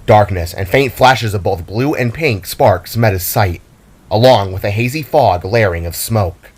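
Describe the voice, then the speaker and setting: A man's voice: lowish voice, a little slow. A man narrating a scripted fantasy story in a dramatic storytelling style.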